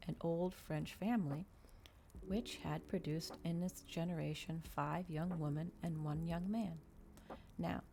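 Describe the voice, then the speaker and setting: A woman reading a novel aloud in a soft, measured ASMR style, close to the microphone. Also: deep voice, gravelly, a little hiss or hum.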